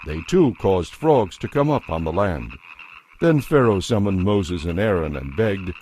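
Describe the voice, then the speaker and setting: A man narrating a dramatized audio Bible as a solemn, measured storyteller. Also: sits low, expressive, a little mellow, slight background noise.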